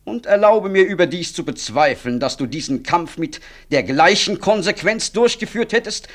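An actor playing a self-important, middle-aged government minister in a radio drama, speaking formally and rhetorically. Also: male voice, high voice, lively intonation, moderate pace, a bit bright. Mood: grandiose, self-justifying. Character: self-important male government minister, smooth, self-justifying old friend. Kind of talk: 1960s German radio drama acting.

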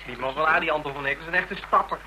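A casual, cheeky man played by an actor. He gossips quickly in a slangy way, in a fairly high voice. The radio drama recording is clearly noisy. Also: lightly acted. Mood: mocking, gossipy.

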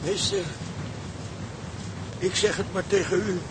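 An actor playing a deferential, shaken ship's cook in a Dutch drama, speaking haltingly. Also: male voice, flat delivery. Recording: clear engine rumble behind dialogue.

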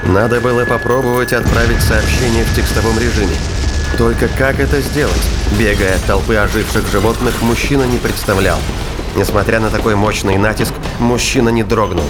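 A man's voice: fairly low pitch, steady tone, brisk, loud music behind the voice. A man reading scripted dramatic narration for a science fiction thriller audio play.

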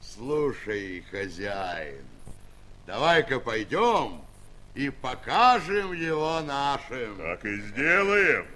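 Slow rustic giant, marvelling. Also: male voice, medium pitch, sing-song, bright, forceful tone, full character voice. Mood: amused. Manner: rustic, slow-witted, chuckling wonder. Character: simple, amused giant peasants marvelling at a tiny creature. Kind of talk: fairy-tale audio play acting.

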